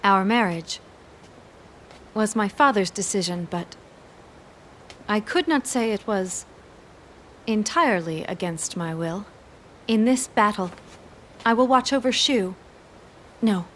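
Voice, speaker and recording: A woman's voice: mid-range voice, lively intonation, takes it slowly, pauses often, fairly bright tone, slight background noise. An actress playing a poised, dutiful young noblewoman of ancient China in a video game, speaking formally and courteously. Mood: soft, devoted. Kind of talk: dubbed video game cutscene acting.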